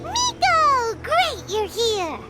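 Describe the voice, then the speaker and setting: A woman voicing a tiny, eager, naive childlike fairy companion in a game, with a squeaky, excitable delivery. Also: drawn-out words, exaggerated voice. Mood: excited greeting. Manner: excitable, exclamatory. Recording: loud background music.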